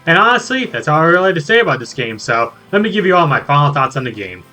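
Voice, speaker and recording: Bright, quick-talking man reviewing games. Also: on the high side, sing-song. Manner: enthusiastic, jokey, exclamatory. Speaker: video game reviewer. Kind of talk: scripted review narration with joking asides. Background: music under the voice.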